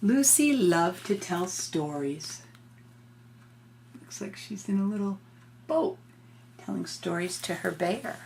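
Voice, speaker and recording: An older woman, a grandmother, reading a picture book aloud to a child in a gentle, explanatory way with informal asides. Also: on the low side, lively intonation, slow, creaky, long pauses, a little hiss or hum.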